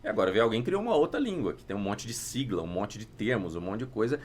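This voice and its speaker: A man's voice: lowish voice, sing-song, quick pace, slight background noise. A man with a Brazilian accent speaking casually as a business podcast guest, explaining things informally. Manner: informal, explains with acted-out examples.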